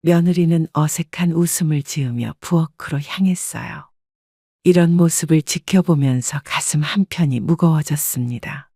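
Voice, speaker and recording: A woman narrating a family story in a calm, measured way, recorded on a close studio mic. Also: low voice, no echo.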